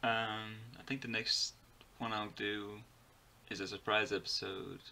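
A man in his twenties giving casual reaction commentary in a halting, fragmented way. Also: on the low side, little pitch movement, vocal fry, pushed and bright, slight background noise. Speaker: reaction video host. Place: bedroom, indoors.

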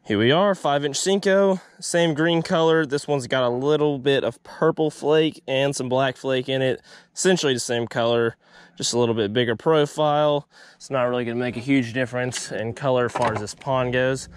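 A man's voice: medium pitch, fairly even intonation, normal speed, no echo, studio quality. A young man, chatting upbeat to viewers while fishing from a small boat outdoors, recorded on an action camera. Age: young adult. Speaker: fishing vlogger. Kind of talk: casual vlog commentary.

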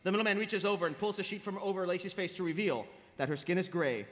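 A woman reads stage directions aloud at a live table read. The narration moves quickly over a stage microphone, echoing through a large hall. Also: on the low side. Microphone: table microphone on a panel.

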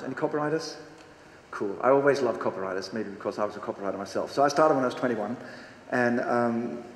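A man's voice: mid-range voice, a little hiss or hum. A Danish man in his forties with a Danish accent, giving a casual, blunt stage talk to a seated audience and quizzing them as he goes. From Denmark. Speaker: conference speaker. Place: large hall with a seated audience. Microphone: handheld microphone on stage. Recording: echoey hall with long reverb, faint ambience.

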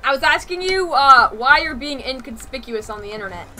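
A woman's voice: high voice, expressive, fairly quick, pushed and bright, soft background music. A young woman chatting casually as a gaming streamer, playful and teasing, with slangy phrasing. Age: young adult. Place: bedroom, indoors.

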